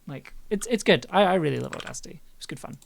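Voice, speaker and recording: Creaky-voiced streamer, rambling casual chat. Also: medium pitch, lively intonation, quick pace. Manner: rambling, repeats words. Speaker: audio drama maker and livestream host. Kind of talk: casual livestream chat while editing.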